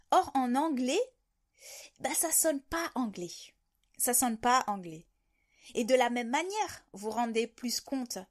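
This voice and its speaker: A woman talking to camera in an unscripted monologue, reflecting on her ideas and rephrasing them as she goes. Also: fairly high pitch. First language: French. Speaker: video host sharing language-learning reflections.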